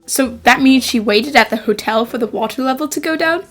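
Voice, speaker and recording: A high, youthful cartoon boy's voice, acted by a woman, earnest and polite. He is a loyal, inquisitive apprentice in an animated dub. Soft music plays behind him. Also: fairly quick, lightly acted. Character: earnest young boy, loyal apprentice of a professor. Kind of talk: animated fan dub acting.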